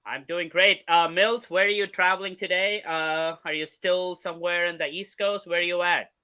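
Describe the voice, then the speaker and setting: A man hosting a casual sports podcast, upbeat, leading the chat with quick questions. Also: sits high, fairly even intonation, pushed and bright, smooth. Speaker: podcast host.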